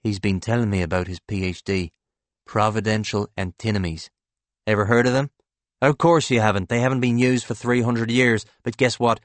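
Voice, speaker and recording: A man voicing a brash, foul-mouthed, excitable male banker in an audiobook, talking emphatically and impatiently like an evangelist for his schemes. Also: on the low side, moderate pace, no echo, natural voice. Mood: eager, impatient. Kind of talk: audiobook character dialogue.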